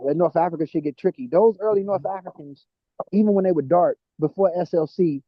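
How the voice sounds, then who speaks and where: Podcast co-host, male, high voice, eager explainer, dry close mic, muffled lo-fi sound. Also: brisk, no room sound. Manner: excited, talks through genetic terms with restarts. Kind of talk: casual discussion of genetic ancestry.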